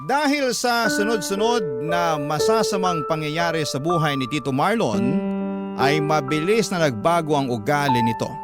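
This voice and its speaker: A man's voice: fairly high pitch, animated, normal speed. A Filipino man narrating a sentimental radio story as a young adult storyteller. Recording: loud music bed under narration.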